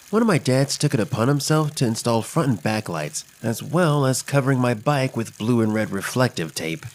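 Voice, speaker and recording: A man's voice: mid-range voice, close to an everyday voice. A man playing an adventurous, kind child who narrates a scripted children's story expressively. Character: adventurous, imaginative, kind child telling a Halloween tale. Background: music.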